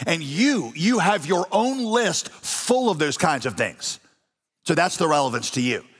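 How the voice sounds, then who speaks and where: A man preaching a sermon as pastor, animated and folksy with touches of humor. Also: a little high, a little slow, slightly rough. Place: large church auditorium. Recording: long reverb of a church hall.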